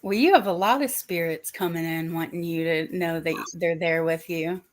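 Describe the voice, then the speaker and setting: A woman from Virginia with a faint Southern accent, giving a gentle, tentative psychic reading in casual livestream chat. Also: fairly low pitch, moderate pace. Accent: Southern US, subtle. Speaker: psychic medium host.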